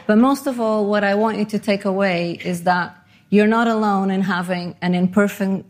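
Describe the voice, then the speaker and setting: A woman's voice: medium pitch, a little mellow. A middle-aged woman from Portugal and Panama giving a conversational, self-deprecating conference talk. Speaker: conference speaker.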